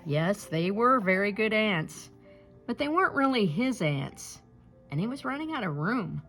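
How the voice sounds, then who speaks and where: A woman reading a children's picture book aloud with expressive, deliberate phrasing. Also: mid-range voice, soft background music. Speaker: storybook reader.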